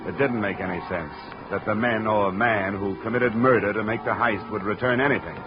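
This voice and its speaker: An actor playing a hard-boiled, wry insurance investigator, dry and clipped, in 1950 radio detective drama. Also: male voice, on the low side, brisk, over music, natural voice. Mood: wry, narrating. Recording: muffled, noisy vintage radio recording.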